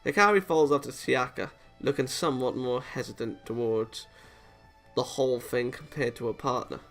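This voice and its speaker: A man narrates a visual novel with theatrical expression and a noticeably gravelly, creaky edge to his voice. His pitch sits in an average male range, and faint music plays behind him as he reads, now and then tripping on a word. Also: moderate pace, a bit bright. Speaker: let's-play host reading a visual novel aloud. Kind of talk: expressive read-aloud narration of a game.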